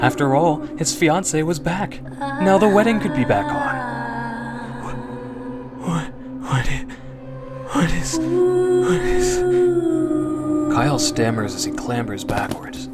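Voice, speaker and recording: A man narrating a second-person horror story in a dramatic, suspenseful and hushed way, with drawn-out emphasis. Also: mid-range voice. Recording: music behind the voice. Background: music.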